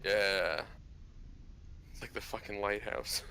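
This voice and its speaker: Gamer guy, creaky lowish voice but punchy, joking around casually, bit of mic hiss. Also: steady tone, takes it slowly, pushed and bright. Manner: informal, sweary. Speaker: tabletop game player. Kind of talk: casual joking chat during a game. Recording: faintly noisy voice chat mic.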